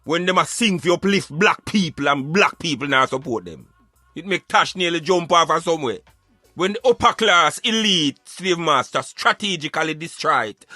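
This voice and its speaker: A Jamaican man with a fairly high, bright voice holds forth in thick Patois. He is emphatic and argumentative, preaching his opinions like a talk show commentator. The sound is close and dry, with music underneath. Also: dry recording. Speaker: radio show host.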